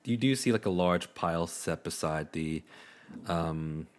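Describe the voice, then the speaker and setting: Low-pitched man running a tabletop game. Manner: halting, filler-heavy, easygoing. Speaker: game master. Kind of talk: casual improvised tabletop game narration.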